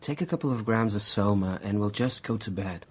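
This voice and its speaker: A man's voice: fairly low pitch, fairly quick, husky. An actor in a radio drama playing a weary, idealistic young male outsider with an earnest, indignant manner. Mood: weary, reluctant.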